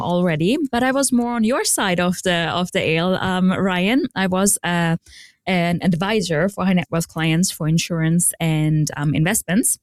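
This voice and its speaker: A woman's voice: fairly low pitch, dry recording, clean studio sound. A woman from Austria with an Austrian accent, a portfolio manager talking in a podcast interview, friendly and self-deprecating with easy laughs.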